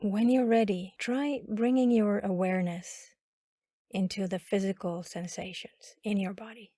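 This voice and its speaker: Slow, mellow woman guiding meditation. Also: mid-range voice, no echo, clean studio sound. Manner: calm, instructional, with long pauses. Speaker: meditation guide. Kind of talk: guided body scan meditation narration.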